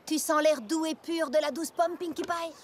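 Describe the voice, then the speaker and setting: A woman's voice: high-pitched, fairly even intonation, moderate pace, heavy background noise, lightly acted. A woman voicing a sensible, caring young farm pony mare in a French cartoon dub, earnest in manner. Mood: proud. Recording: music behind the voice.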